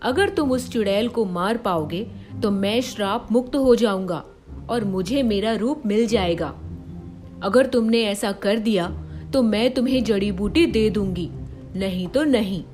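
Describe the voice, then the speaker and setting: Casting: woman for enchanted lion-fairy, firm bargainer, earnest, mid-pitched, animated fairy tale. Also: unhurried, faint music behind, lightly acted. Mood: firm, pleading. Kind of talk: cartoon fairy-tale voice acting.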